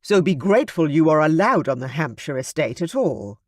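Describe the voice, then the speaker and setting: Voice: mid-range voice, big pitch swings, normal speed, no room sound, clean studio sound. A narrator voicing a duke who speaks coldly and dismissively to his wife. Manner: cold and dismissive. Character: cold, dismissive aristocratic man, a duke. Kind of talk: audiobook character voice.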